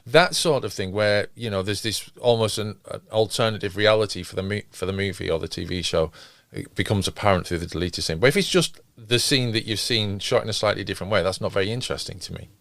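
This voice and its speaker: A man's voice: lowish voice, fast, gravelly, a bit bright, dry recording. A man hosting a podcast in a casual, conversational, slightly hesitant way. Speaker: podcast host. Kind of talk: casual discussion.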